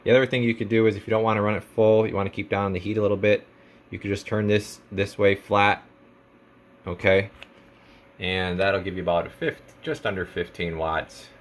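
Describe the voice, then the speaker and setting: Male technician, lowish pitch, informal step-by-step product demo, jargon-heavy, conversational. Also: little pitch movement, fairly quick. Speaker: radio technician presenting a radio for sale. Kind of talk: casual product demonstration.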